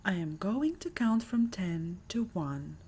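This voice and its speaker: A woman with a soft, breathy voice narrating a guided meditation in a soothing, measured manner. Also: mid-range voice, very animated. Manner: soothing, measured, breathy delivery with lingering pauses.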